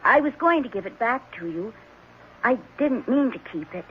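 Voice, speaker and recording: An actress playing a guarded, defensive ten-year-old orphan boy in a 1970s radio drama, halting and childlike. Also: on the high side, expressive, natural voice. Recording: muffled, noisy old radio broadcast.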